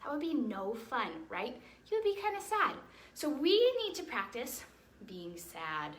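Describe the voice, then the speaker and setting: A woman teaching a ballet lesson to young children in an encouraging, animated way, explaining things simply and checking in with questions. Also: a little high, unhurried. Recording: roomy echo, distant mic, faint noise.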